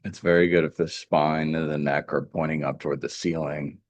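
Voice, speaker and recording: A man leading a guided meditation as a teacher, speaking in a calm, gentle, deliberate way with pauses. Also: rumbling low, no echo.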